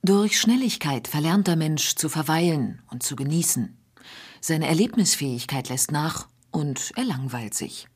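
A woman narrating a radio feature in a measured, precise scripted delivery. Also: low voice, animated, husky.

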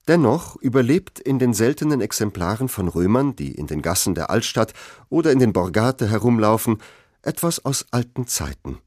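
A man's voice: fairly low pitch, big pitch swings, normal speed, darkish tone, no echo, clean studio sound. A man narrating a scripted literary essay for radio.

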